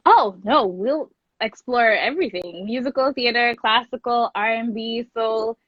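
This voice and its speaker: Interview guest: young woman from Kenya, Luo native speaker, higher voice, informal and enthusiastic, muffled lo-fi sound. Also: measured pace, husky, dry recording. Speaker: guest, classical singer and voice teacher. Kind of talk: casual interview chat. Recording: dull lo-fi close dry sound.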